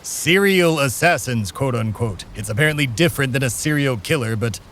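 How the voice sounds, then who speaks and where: A man playing an immortal figure who embodies America, calmly telling outrageous stories in a matter-of-fact, deadpan way with dramatic pauses in a comedy audio drama. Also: medium pitch, a little hiss or hum, close to an everyday voice. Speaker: co-host character.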